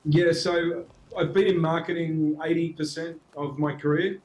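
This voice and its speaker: Hesitant Australian man, slightly high. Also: fairly even intonation, moderate pace, a little mellow, slight background noise, low-quality recording. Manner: hesitant, many restarts and fillers. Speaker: guest, marketing executive. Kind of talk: casual interview answers.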